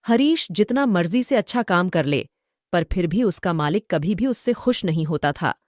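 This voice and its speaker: Low, mellow woman narrating a story. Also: lively intonation, normal speed, dry recording, clean studio sound.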